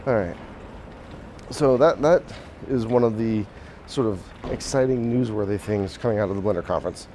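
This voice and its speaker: A hoarse man hosting a podcast, talking casually while walking outdoors, rambling with restarts and small chuckles. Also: lowish voice, moderate pace, warm tone. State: hoarse, voice mostly shot. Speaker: podcast host. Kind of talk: casual walk-and-talk monologue. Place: outdoors on a city street. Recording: faint outdoor street noise. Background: street and traffic noise.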